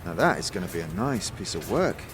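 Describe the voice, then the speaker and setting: A man voicing an easygoing young foundry craftsman in a fantasy audio drama, instructing in a measured, patient way. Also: low voice, big pitch swings, noisy background, voice pushed down. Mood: admiring.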